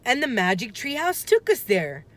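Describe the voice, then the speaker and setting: A woman voicing a lively, confident and bossy young girl in a children's storybook, with emphatic, excitable delivery. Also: on the high side, normal speed, voice pushed up. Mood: matter-of-fact. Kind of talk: children's storybook read-aloud.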